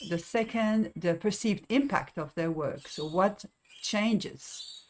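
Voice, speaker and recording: A woman's voice: medium pitch, slow pace, slight background noise. A middle-aged woman from Belgium presenting research results as a project evaluator, speaking with many hesitations and restarts.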